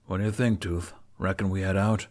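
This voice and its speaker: A man's voice: deep voice, steady tone, a little fast, a little mellow, lightly acted. A man voicing a caring, plain-spoken male bard in an audiobook. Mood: concerned.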